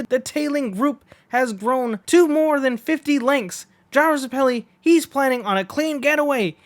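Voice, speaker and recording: A man voicing an excited horse race announcer from a manga, with emphatic, repetitive commentary calls. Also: very high voice, voice pushed up.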